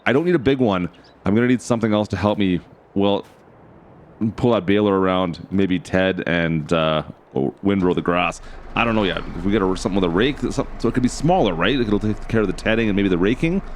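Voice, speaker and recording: A man with a hoarse, raspy voice casually commenting as he plays a farming game, thinking aloud and talking to his viewers. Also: lowish voice, brisk. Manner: chatty, thinks aloud, addresses viewers as guys. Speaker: game channel host.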